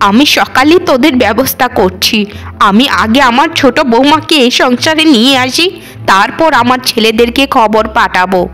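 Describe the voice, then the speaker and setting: A woman's voice: a little high, lively intonation, normal speed, a bit bright. A woman voicing a stern old village mother-in-law in a cartoon, declaiming dramatically. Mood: furious scolding. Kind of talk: cartoon voice acting.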